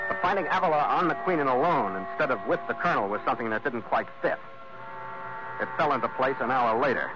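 A man's voice: mid-range voice, close to an everyday voice. A man acting a tough, wry American sea captain in a 1940s radio drama, with clipped hard-boiled delivery. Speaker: narrating lead actor. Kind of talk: 1940s radio acting, noir first-person narration. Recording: dull 1940s radio recording with music.

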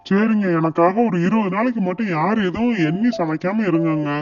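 A man acts out a harried family man in a cartoon, with a highish voice and a wheedling, exasperated, colloquial way of talking. The sound is slightly dull and lo-fi, with clear music underneath. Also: brisk, natural voice. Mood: suspicious. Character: harried, scheming husband and father. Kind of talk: Tamil cartoon story dialogue.

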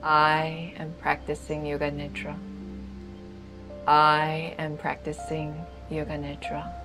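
Female meditation guide, deep-pitched and very slow, soothing delivery with spacious pauses. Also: monotone, over music. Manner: soothing, unhurried, with long pauses. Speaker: yoga nidra guide.

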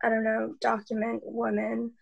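A young woman answers casually on an online panel. Her voice is fairly high with a lot of fry, and her intonation stays even. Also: moderate pace, lo-fi sound. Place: indoors on a video call. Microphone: video call microphone.

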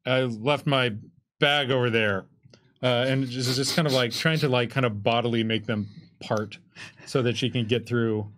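A man's voice: medium pitch, fairly even intonation, moderate pace, fairly bright tone. A man in his thirties casually explaining tabletop game rules in a hesitant, loosely phrased way. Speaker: game designer and co-host. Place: indoor studio with curtain backdrop. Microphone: microphone on desk stand.

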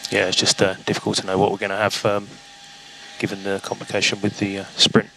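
A man giving calm, matter-of-fact, terse instructions to a driver over team radio as a race engineer. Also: lowish voice, quick pace, gravelly, poor audio. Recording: team radio with faint background noise.

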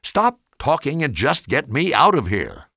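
A man's voice: mid-range voice, huge pitch swings, measured pace, sharp tone, dry recording, clean studio sound, pitched above the performer's own voice, exaggerated voice. A man voicing a sulky, blunt young girl in a storybook, skeptical and impatient.

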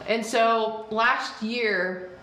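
Young woman doing a get-ready-with-me chat, kinda high voice, explains each product, echoey little room. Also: steady tone, very slow, bright, forceful tone. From United States, raised mostly in the South. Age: early 20s. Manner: chatty, explains products as she applies them. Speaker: beauty vlogger host. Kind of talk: casual chat while doing makeup. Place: small indoor room, seated side by side. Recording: roomy indoor sound.

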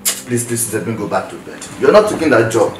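A man's voice: mid-range voice, very animated, fairly quick, background noise, lightly acted. A man playing a furious jealous Nigerian husband. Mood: indignant. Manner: shouting, repeating himself, pidgin-tinged. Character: angry jealous husband protesting wife's job. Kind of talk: comedy skit acting. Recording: echoey room, mic at a distance, music behind.

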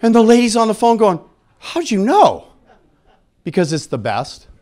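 Male conference speaker, middle-aged, slightly high-pitched, frank conversational delivery with a joking streak, on handheld mic. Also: huge pitch swings, moderate pace, darkish tone. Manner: jokey, candid, conversational. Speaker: conference speaker on sexual health. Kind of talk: live lecture to an audience, frank and informal. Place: indoor conference room with seated audience. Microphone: handheld microphone.

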